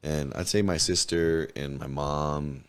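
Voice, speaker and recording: A hoarse man in his twenties from New Mexico, a podcast guest telling candid, profane stories in heavy slang. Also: very deep voice, fairly even intonation, slow pace. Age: 20s. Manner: laid-back, profane, storytelling, heavy slang. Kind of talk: casual candid interview chat.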